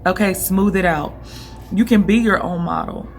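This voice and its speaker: A young woman with a hoarse voice she is losing, giving casual vlog tips on running an online boutique in a direct, coaching way that keeps checking in with the listener. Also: fairly low pitch, normal speed, slight background noise.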